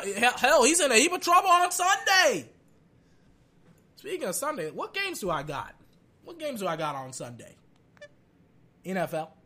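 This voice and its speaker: A young man hosting a casual sports podcast, brash and excitable, talking through a sore throat as he loses his voice. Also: extremely high pitch. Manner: brash and excitable, hypes with "ladies and gentlemen".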